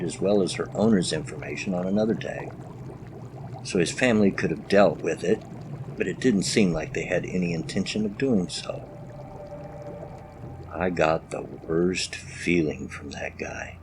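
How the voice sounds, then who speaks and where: A man's voice: sits low, normal speed, noisy background. A man narrating first-person scary stories as a storyteller. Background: music.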